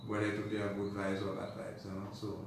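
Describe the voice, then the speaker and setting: Dominican guy being interviewed, rambles along casually, far from the mic with clear noise behind him. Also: on the low side, completely flat delivery, fast talker. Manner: rambling, often says "you know". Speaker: interview guest. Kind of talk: casual conversational recollection. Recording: very echoey room, distant mic, noisy.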